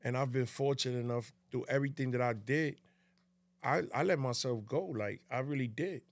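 A man's voice: mid-range voice, brisk, no echo. A man in his fifties speaking casually and emphatically as a podcast guest, repeating himself and checking that he is understood. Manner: emphatic, repeats himself, often asks "you know what I mean". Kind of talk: casual interview chat. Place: small indoor podcast studio. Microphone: studio mic on boom arm.

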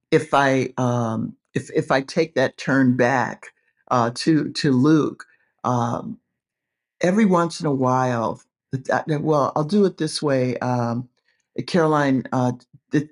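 A woman's voice: a bass voice, slow pace, darkish tone. A middle-aged woman, a professor co-hosting, speaking earnestly and in winding sentences over a video call from a room with bookshelves. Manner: earnest, winding, many fillers. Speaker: seminary professor and podcast co-host. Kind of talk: conversational discussion. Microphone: webcam on video call.

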